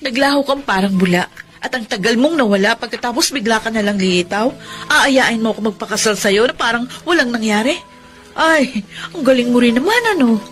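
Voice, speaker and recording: A woman's voice: on the high side, brisk, a bit bright, soft background music, lightly acted. An actress playing a hurt, proud young career woman and devoted daughter in a radio drama, with a Filipino accent. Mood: hurt, indignant.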